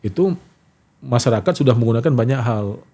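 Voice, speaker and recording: A man's voice: mid-range voice, animated, a little fast, warm tone. A middle-aged man, recounting anecdotes as an interview guest and acting out quoted exchanges in casual conversation. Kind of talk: casual talk show interview, telling anecdotes.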